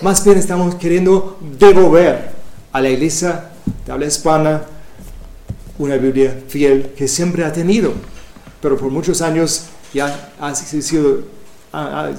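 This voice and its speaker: A man speaking Spanish as a second language, giving a halting church presentation as a Bible translation coordinator, with many restarts and fillers. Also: on the high side, mellow, echoey room. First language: not Spanish.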